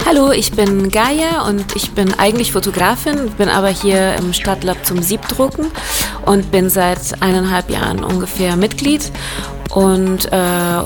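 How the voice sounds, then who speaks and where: A woman's voice: mid-range voice, measured pace, over music. Hesitant Italian-raised woman guest. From Italy. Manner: hesitant, with fillers. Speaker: interview guest, photographer. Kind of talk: casual interview talk.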